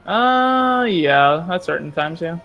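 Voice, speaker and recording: A man's voice: on the high side, animated, takes it slowly, creaky, music in the background. A man in casual gaming chat with friends, talking informally and easygoing.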